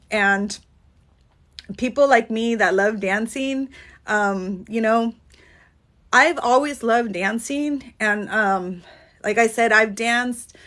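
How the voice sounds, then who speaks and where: A woman from California chats casually on a phone livestream. She rambles in a sincere, heartfelt way and fills her pauses with "um" and "like". Her voice sits at an ordinary middle pitch. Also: a bit bright. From California, USA. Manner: rambling, earnest, heavy on fillers like "um" and "like". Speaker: dance choreographer and coach.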